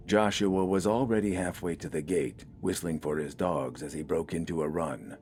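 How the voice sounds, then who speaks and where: A man narrates a piece of fiction in a deep, low register. The delivery is scripted storytelling for an audio story. Faint music runs underneath the voice. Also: normal speed, darkish tone.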